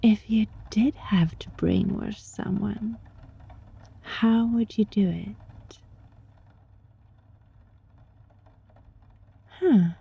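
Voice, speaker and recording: A woman playing a gentle, sly young fox-spirit student in an intimate ASMR roleplay, speaking softly and breathily in a coaxing, teasing way. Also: mid-range voice, takes it slowly, muffled recording, full character voice. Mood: curious, teasing. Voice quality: soft, breathy.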